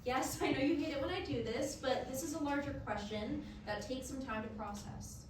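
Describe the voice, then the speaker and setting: A woman playing a patient, probing therapist in staged reading dialogue, with a measured, questioning manner. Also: high voice, lightly acted. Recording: echoey room from far off, background noise.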